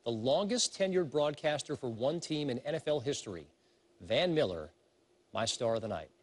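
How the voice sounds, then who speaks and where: A man reading a scripted documentary narration in a smooth, measured way. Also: medium pitch, lively intonation.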